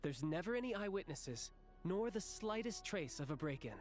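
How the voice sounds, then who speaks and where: A man's voice: sits high, big pitch swings, moderate pace, soft background music. A man playing a sly, genteel young official in a video game, speaking in a playful, articulate and theatrical way. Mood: measured, explaining. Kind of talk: video game character acting.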